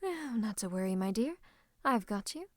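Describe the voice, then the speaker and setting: A woman's voice: medium pitch, lively intonation, moderate pace, dry recording, studio quality, natural voice. A woman playing a scheming royal advisor and sorcerer in an ASMR roleplay, smug and mock-courteous, slipping into menacing whispers. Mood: gloating, hypnotic. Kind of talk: ASMR roleplay, theatrical monologue to the listener.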